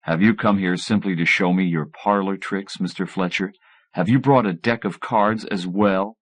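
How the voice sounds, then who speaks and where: Pompous male professor, voiced by a male narrator: cold, dismissive, sarcastic, lowish voice, audiobook dialogue. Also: fairly even intonation, moderate pace. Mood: scornful.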